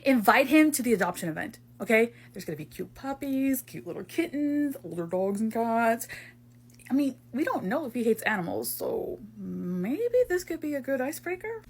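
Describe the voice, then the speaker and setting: A woman playing an easygoing, protective young man in an amateur audio drama, coaxing and soothing in husky, bro-ish talk. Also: a little high, big pitch swings, moderate pace, full character voice.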